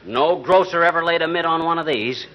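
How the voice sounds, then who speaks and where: An actor playing a boastful, folksy, stubborn husband full of slang, in 1940s radio comedy style. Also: male voice, a little high, a little fast, natural voice. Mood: proud, excited. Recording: muffled, noisy old 1940s radio recording.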